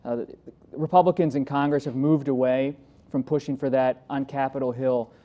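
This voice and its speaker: A man's voice: medium pitch, steady tone, normal speed. A man working as an interviewer, putting questions in a live interview, heard in slightly dull sound.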